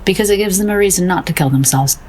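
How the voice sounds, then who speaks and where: A woman's voice: lowish voice, quick pace, darkish tone, slight background noise, close to an everyday voice. A woman playing a self-doubting fine arts painter in an audio drama, wry, earnest and self-deprecating. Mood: earnest, melancholy. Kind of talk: naturalistic audio drama acting.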